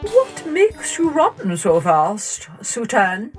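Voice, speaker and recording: A woman voicing a small, boastful male fox in a children's story, taunting and scornful with drawn-out words. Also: on the high side, huge pitch swings, soft background music, voice pushed up, full character voice. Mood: curious, teasing.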